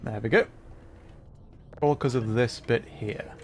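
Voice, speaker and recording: A man's voice: mid-range voice, slow pace, faint music behind. A man casually commentating live gameplay for his chat, thinking aloud and interrupting himself as he goes. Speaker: livestreamer, host.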